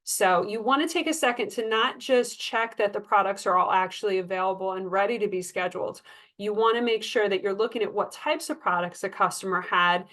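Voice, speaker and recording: A woman's voice: mid-range voice, brisk, fairly bright tone. A woman working as a sales trainer, talking staff through tips in a conversational, emphatic coaching manner. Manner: coaching, emphatic, conversational, acts out example dialogue. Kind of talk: informal staff training talk.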